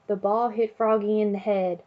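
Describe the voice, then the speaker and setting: A woman reading a children's picture book aloud in an expressive way, chanting refrains and sound words. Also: mid-range voice, normal speed, dull audio. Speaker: storybook reader.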